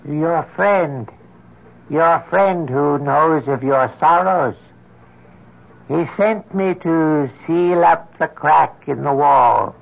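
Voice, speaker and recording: An actor in an old radio horror drama playing a small, eerily courteous supernatural tempter, measured and insinuating. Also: male voice, on the high side, creaky, dull audio, full character voice. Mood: sly, insinuating.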